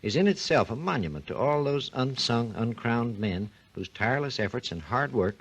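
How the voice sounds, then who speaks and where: A man with a somewhat husky voice explains technical history in an even, lecturing manner. His pitch moves freely and expressively as he talks. The sound is an old 1940s radio recording. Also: normal speed, poor audio. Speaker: research engineer guest. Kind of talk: scripted 1940s radio talk.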